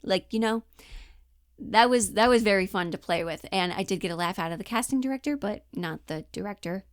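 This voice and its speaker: A woman's voice: mid-range voice, brisk, no echo, clean studio sound. A woman giving a casual, explanatory acting lesson, chatty and informal, often thinking aloud as she goes. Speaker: acting coach.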